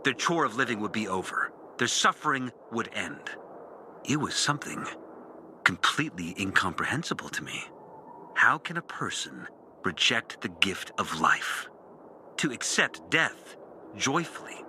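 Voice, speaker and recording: A disillusioned ex-priest recording a game audio journal. His low voice is sharp and forceful, swinging widely as he broods through a bitter confession. He speaks deliberately, leaving dramatic pauses. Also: big pitch swings, a little hiss or hum, exaggerated voice. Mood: troubled, grim. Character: disillusioned former priest, bitter and intense, recounting his lost faith. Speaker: character narrating an audio journal. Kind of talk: dramatic video game monologue.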